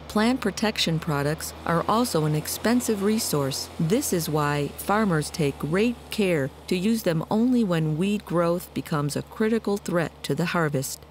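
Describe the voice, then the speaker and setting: A woman reading scripted documentary narration in a measured, articulate, explanatory way. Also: lowish voice, a little mellow, a little hiss or hum.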